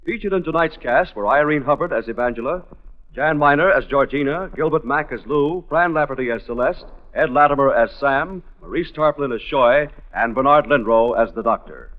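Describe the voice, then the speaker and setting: An American man announcing formally and in measured phrases, in scripted 1950s radio style. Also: mid-range voice. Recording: muffled old radio recording, loud music. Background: music.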